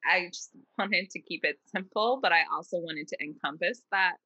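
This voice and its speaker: Bright, animated woman coach. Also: moderate pace, poor audio. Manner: animated, playful, swears casually, acts out voices in high tones. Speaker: coach, guest. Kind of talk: casual interview chat.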